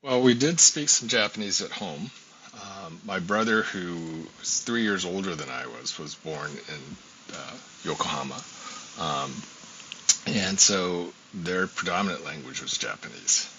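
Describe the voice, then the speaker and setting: An older man in his sixties with a strikingly low, forceful voice reflects on his life as a guest. He tells his story at an unhurried pace and fills his pauses with ums. The audio is dull and lo-fi, with a faint hiss underneath. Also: animated. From United States. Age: 60s. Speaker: guest scholar. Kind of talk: interview conversation.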